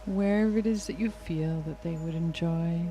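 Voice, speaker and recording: A woman leading a hypnotic guided meditation in a soothing, unhurried, gently instructing way. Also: lowish voice, slight background noise. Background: music clearly behind the voice.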